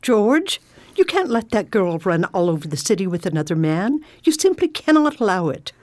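A woman's voice: mid-range voice, very animated, moderate pace, darkish tone, dry recording, clean studio sound, lightly acted. A woman playing a snobbish, indignant older sister in a radio drama, scolding and haughty. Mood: haughty disapproval.

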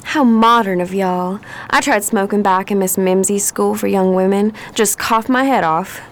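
An actress playing a genteel, chatty Southern belle in a radio play, with a Southern American accent. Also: female voice, medium pitch, moderate pace, lightly acted. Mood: prim, chatty.

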